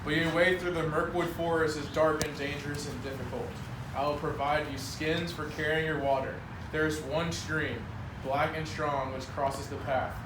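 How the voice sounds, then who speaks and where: A man playing a gruff but helpful host who warns travellers, in an amateur readers' theater reading. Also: fairly high pitch, flat delivery, moderate pace, close to an everyday voice. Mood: earnest, warning. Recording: noisy echoey room, distant microphone.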